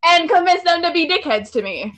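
A woman's voice: very high-pitched, lively intonation, brisk, a bit bright. A woman in a casual online group chat who bluntly orders the others around, swears, and laughs a lot.

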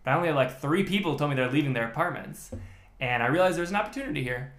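A man's voice: fairly high pitch, expressive, very fast, a bit bright. A man, a podcast guest telling his story in a methodical way with many restarts. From United States. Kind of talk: casual interview chat. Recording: echoey room.

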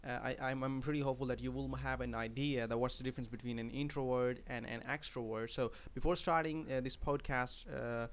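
A man's voice: mid-range voice, fairly even intonation, brisk, hardly any pauses. A man who speaks English as a second language, hosting a podcast and giving advice in a hesitant way, with many fillers and restarts. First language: not English. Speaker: podcast host. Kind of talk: casual spoken advice monologue.

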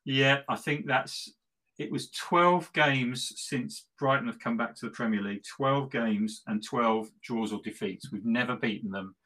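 A man's voice: on the low side, fairly bright tone, low-quality recording. A middle-aged man chatting casually about football as a podcast co-host, gleeful and tongue-in-cheek, repeating himself for emphasis. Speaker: football podcast co-host and West Ham fan.

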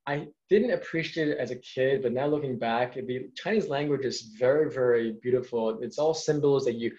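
A man's voice: mid-range voice, normal speed, dark tone. New York-born male podcast host, eager and long-winded, on a dull lo-fi recording. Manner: enthusiastic, rambling questions. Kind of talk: casual podcast interview. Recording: dull lo-fi sound.

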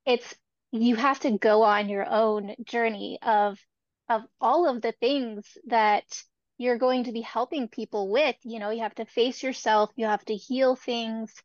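A woman's voice: fairly high pitch, fairly even intonation, a little slow, dry recording. A woman hosting a podcast interview, speaking in an earnest, reflective way. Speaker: podcast host. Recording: dry, dull lo-fi sound.

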